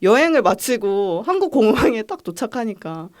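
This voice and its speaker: A young woman hosting a podcast, chatting casually and presenting a film recommendation in a calm, neat way. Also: a little high, moderate pace. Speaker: podcast host.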